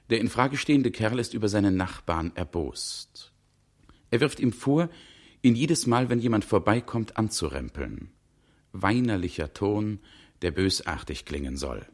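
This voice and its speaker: An actor playing a detached narrator in a 1960s radio drama, reporting in terse, clipped notes. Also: male voice, lowish voice. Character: detached male narrator reporting a petty bus incident in notes.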